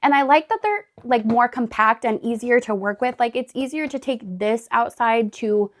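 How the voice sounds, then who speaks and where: A woman vlogging casually at home, still hoarse and raspy after being sick, chatting with lots of "like" and "really" as she sorts gardening supplies. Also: a little high, lively intonation, moderate pace. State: hoarse from recent illness. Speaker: homestead vlogger. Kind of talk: casual vlog chat. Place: indoors at home, sorting gardening totes.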